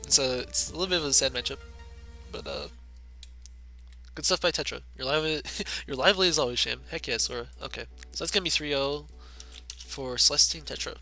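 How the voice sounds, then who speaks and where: A young man with a soft, quiet low voice, casually commentating a live game tournament from a home streaming setup, chuckling between remarks. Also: a little fast, vocal fry. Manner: laid-back, chuckles often between remarks. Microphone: desk mic turned to max. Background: game music playing.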